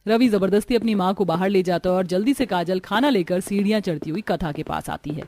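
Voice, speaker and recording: Female storyteller for animated family tales, middle pitch, scripted narration. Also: brisk, no room sound.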